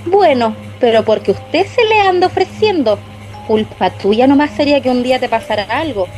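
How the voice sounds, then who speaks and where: A prudish Chilean neighborhood mother in a radio play, high-voiced and very animated. She scolds and gossips with righteous indignation. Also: moderate pace, soft background music, rough recording. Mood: scolding. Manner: indignant, reproachful. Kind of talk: radio drama acting.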